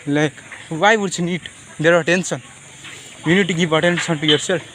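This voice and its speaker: Nepali guy w high voice just rambling off the top of his head, halting, repeats himself, bit of outdoor noise. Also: steady tone. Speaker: vlogger. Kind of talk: unscripted personal monologue.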